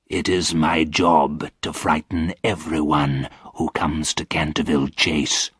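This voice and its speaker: A man voicing a lonely, sorrowful old ghost in a storybook, weary and solemn, with a husky voice. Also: rumbling low, level tone, fairly bright tone, no room sound, clean studio sound, voice pushed down, exaggerated voice. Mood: solemn, matter-of-fact. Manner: slow, weary, solemn delivery.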